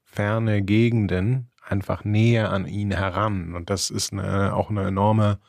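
Low gravelly man musing with many fillers. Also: little pitch movement, darkish tone, dry recording. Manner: thoughtful, halting, many fillers and restarts. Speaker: podcast co-host, book critic. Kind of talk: casual book discussion.

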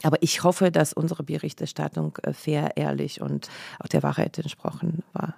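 Gravelly-voiced woman from Leningrad. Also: low-pitched, level tone, moderate pace, a little mellow, no echo, clean studio sound. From Leningrad, Soviet Union. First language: Russian. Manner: earnest, emphatic, storytelling. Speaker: interview guest, journalist. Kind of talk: podcast interview. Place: studio.

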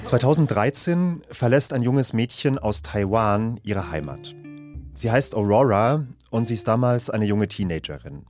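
Calm low-voiced male news host. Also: unhurried, soft background music. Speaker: podcast host. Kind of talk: scripted news narration. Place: office.

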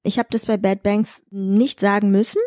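A young actress talks candidly and pragmatically as a podcast guest, and she laughs readily. Her voice is mellow and sits in the middle range for a woman. The recording is dry and close. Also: mid-range voice, flat delivery, moderate pace, no room sound. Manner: frank, pragmatic, laughs often.

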